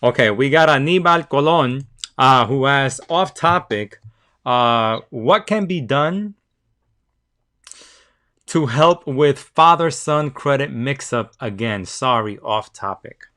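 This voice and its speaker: A man's voice: medium pitch, animated, slow pace, bright, forceful tone. A man from El Salvador hosting a live credit podcast, reading out viewers' questions in an upbeat way. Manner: reads out listener questions, upbeat. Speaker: credit repair host.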